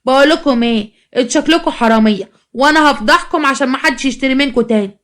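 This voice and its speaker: A woman's voice: very fast, a bit bright. A woman storyteller voicing a quarrelsome, accusing customer at a vegetable stall, with an Egyptian accent. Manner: shrill, accusatory, haggling. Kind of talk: dramatized storytelling.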